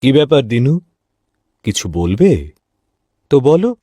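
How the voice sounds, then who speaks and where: A man playing a stern, honest, weary middle-aged father and shopkeeper in a cartoon, speaking gravely and emphatically. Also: mid-range voice, very animated, measured pace, mellow, dry recording, clean studio sound. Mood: concerned, authoritative. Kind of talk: cartoon voice acting.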